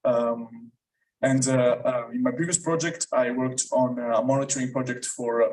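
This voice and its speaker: A young man with an Italian accent presents to a lecture room and fills his talk with ums and uhs. His voice sits at a medium pitch and picks up some distance from the mic, like a speaker standing away from it. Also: fairly even intonation, normal speed, darkish tone, slight background noise. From Italy. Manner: hesitant, many fillers. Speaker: student presenter. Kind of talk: academic talk.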